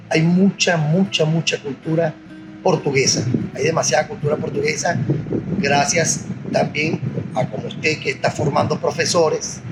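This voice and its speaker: A Venezuelan man answering interview questions in a relaxed, rambling way, repeating words and filling pauses with "este". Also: a little high, gravelly, low-quality recording. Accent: Venezuelan Spanish. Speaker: interviewee, singer of Portuguese music.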